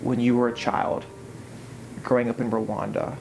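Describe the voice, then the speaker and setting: A man interviewing off camera, asking calm, measured questions. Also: mid-range voice, gravelly, noisy background.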